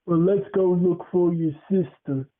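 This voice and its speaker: A storyteller voicing an older, very tall homeowner who talks bluntly with a slow drawl in a spooky tale. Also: medium pitch, voice pushed up, close to an everyday voice. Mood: dismissive. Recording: tinny phone-like audio.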